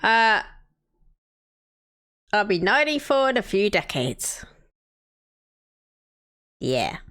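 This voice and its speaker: A woman's voice: medium pitch, sing-song, takes it slowly, fairly bright tone. Streamer, female, 30s; casual, chatty, greets viewers by name. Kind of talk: casual live-stream chat while playing a city-building game.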